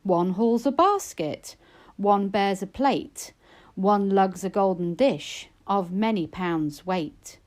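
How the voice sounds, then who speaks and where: A woman's voice: medium pitch, slow, a little mellow, lightly acted. A woman voicing a curious, tempted maiden who speaks with eager wonder in a storybook poem. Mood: entranced.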